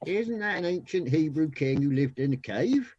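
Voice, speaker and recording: A man's voice: on the high side, animated, normal speed, a little mellow, highs cut off, a little hiss or hum, lightly acted. A man playing a jokey, know-it-all pub regular with a lisp in a radio comedy. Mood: dry, challenging.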